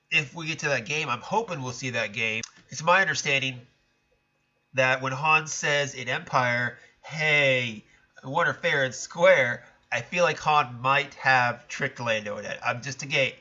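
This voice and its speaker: Chatty stuffed-up man with bright voice. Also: mid-range voice, highs cut off. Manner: chatty, rambling, self-correcting. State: stuffed-up nasal voice. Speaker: podcast host. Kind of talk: casual solo commentary.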